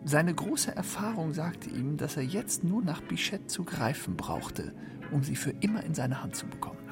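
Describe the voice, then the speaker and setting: Wry male storyteller for literary radio drama, higher-pitched, gravelly edge, music behind. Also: big pitch swings, moderate pace. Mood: wry, deliberate. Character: wry, hesitant male narrator of a 1920s Paris crook tale. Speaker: narrator in a radio play. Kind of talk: literary radio play narration.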